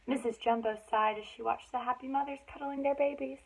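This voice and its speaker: A woman's voice: high-pitched, fairly even intonation, a little fast, creaky, breathy edge. A woman reading a children's story aloud expressively, with dramatic emphasis for young listeners. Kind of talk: expressive read-aloud narration with chatty asides. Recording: thin, phone-like band-limited sound.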